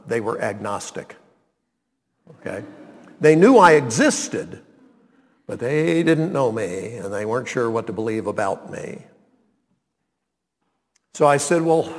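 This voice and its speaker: A man, preaching a sermon and reading scripture, deliberate and emphatic. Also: lowish voice, gravelly. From North Carolina, US. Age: 90s. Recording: long reverb of a large hall.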